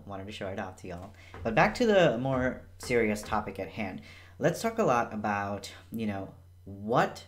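A young man born in Bangladesh, a physician, giving a casual and earnest health explainer from a small room at home. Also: medium pitch. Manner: casual, chatty and earnest, with emphatic repeats. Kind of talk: educational health vlog.

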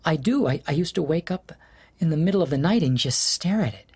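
An actor playing an anxious husband in an audio drama, stammering and swinging between shouts and whispers. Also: male voice, a little high, lively intonation, brisk, breathy edge. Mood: tender unsettled. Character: anxious husband losing his love, middle-aged man. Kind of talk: studio audio drama acting.

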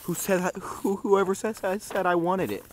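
A man's voice: high voice, fairly even intonation, normal speed. A young man teasing and goofing around with a friend at a night campfire outdoors. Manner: goofy, teasing, sing-song bits. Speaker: camping friend. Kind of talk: casual joking chat. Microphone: handheld camera nearby. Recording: outdoor handheld camera with faint noise.

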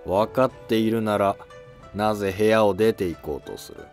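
A man's voice: on the low side, expressive, moderate pace, gravelly, darkish tone, faint music behind. A man playing a stern, dutiful senior court historian in a scripted historical drama. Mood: stern, commanding.

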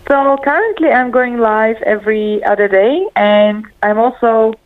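A woman's voice: fairly high pitch, unhurried. A woman from Slovakia, a guest speaking over a call, enthusiastic and laughing often. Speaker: guest, live show host. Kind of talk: casual interview on a call. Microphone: remote call. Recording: phone-like remote call line.

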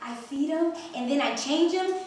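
A woman's voice: sits high, normal speed, a little mellow, natural voice. A woman reading the part of an eager, chatty, artless twelve-year-old Trinidadian girl in a staged play reading. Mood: boastful. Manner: artless, boastful, giggly. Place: wood-paneled mansion room. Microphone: distant camera microphone. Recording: very echoey room, distant mic, faint noise.

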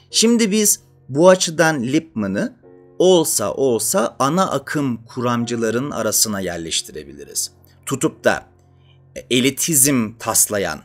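Young guy, animated explainer talking straight to viewers, music behind. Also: mid-range voice. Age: young adult. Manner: conversational, addresses viewers directly with rhetorical questions. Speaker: educational video host. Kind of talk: informal explanatory monologue to camera. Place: indoor studio with dark curtain backdrop.

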